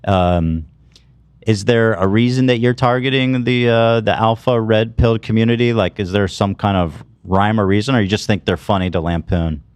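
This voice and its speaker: A man in his late thirties with a fairly low voice asks his guest teasing, wry questions in an easy conversational way. The recording is dry and close, with no room sound. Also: normal speed, clean tone, no room sound. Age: 30s. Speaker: podcast host. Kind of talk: casual interview.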